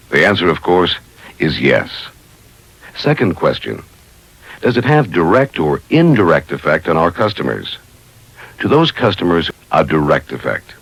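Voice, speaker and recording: Deep, gravelly male training narrator. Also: dry recording. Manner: formal, methodical enunciation. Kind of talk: scripted instructional narration.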